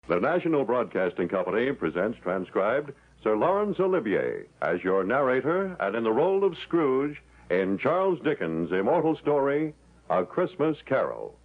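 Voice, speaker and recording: A man's voice: mid-range voice, big pitch swings, moderate pace, breathy edge. A man announcing a programme formally in 1950s radio style. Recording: muffled old radio broadcast recording.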